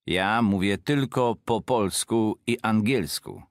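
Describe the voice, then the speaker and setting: Male course reader, low-pitched, careful and clear, dry studio sound. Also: slow, no echo. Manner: careful, clear phrase-by-phrase delivery. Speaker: Polish-side speaker of a language course. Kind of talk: slow scripted phrase reading.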